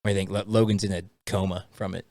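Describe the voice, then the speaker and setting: A man's voice: low voice, little pitch movement, rapid-fire, darkish tone, no echo. A man co-hosting a casual, bantering film review chat. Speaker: podcast co-host leading the discussion.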